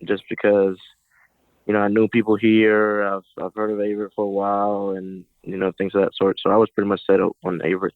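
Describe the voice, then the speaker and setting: Young male college athlete from Puerto Rico, low flat smooth voice, phoning in, easygoing. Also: a little fast, no echo. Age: young adult. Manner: relaxed, casual, uses fillers like "you know". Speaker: guest, college football player. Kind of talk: phone interview. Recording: tinny phone line.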